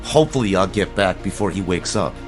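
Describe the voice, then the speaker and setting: A man's voice: sits low, expressive, brisk, soft background music. An actor playing an anxious, determined small-town man in an audio drama, speaking earnestly and persuasively. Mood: resolved.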